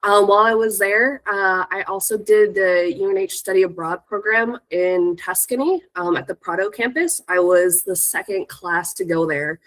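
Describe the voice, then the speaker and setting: A woman's voice: mid-range voice, animated, normal speed, few pauses, a bit bright, low-quality recording. An American woman in her thirties with a stuffy, congested voice from a cold, giving an informal, chatty talk about herself as a guest speaker. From Northern California, United States. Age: 30s. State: sick with a cold. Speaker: guest speaker, music industry professional.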